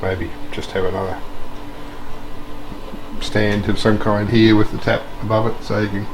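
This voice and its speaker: A man's voice: on the low side, flat delivery, moderate pace. A man thinking aloud in an unscripted, hesitant walk-around commentary, musing as he shows things around him. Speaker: vlogger showing repairs and farm work. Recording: noisy ambient hum, handheld walk-around recording.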